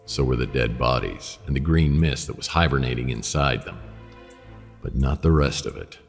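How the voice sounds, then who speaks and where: A man playing a world-weary, wisecracking private detective in a noir radio drama, with a wry deadpan delivery. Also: rumbling low, moderate pace, vocal fry, in a large hall, soft background music, heavily acted. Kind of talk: noir radio drama, first-person narration and dialogue.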